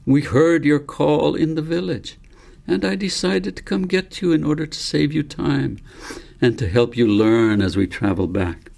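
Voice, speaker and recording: A man reading the part of a wise old grandfather in a storybook, calm and patient, explaining things in a measured, philosophical way. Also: fairly high pitch, gravelly, voice pushed up. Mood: patient, amused.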